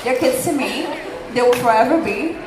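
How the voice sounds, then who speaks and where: A woman's voice: on the high side, lively intonation, normal speed. A young woman whose first language is Portuguese, hosting a convention panel in an earnest, chatty way with frequent ums. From Brazil. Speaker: convention panel host, theater teacher. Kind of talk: casual panel talk. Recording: echoey room, background noise.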